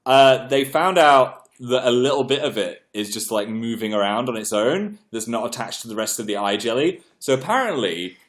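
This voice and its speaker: A man's voice: medium pitch, normal speed, hardly any pauses. A young man, congested, chatting casually on a livestream and reading viewer comments aloud. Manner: chatty, self-deprecating, reads comments aloud. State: congested, clearing throat. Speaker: livestream host.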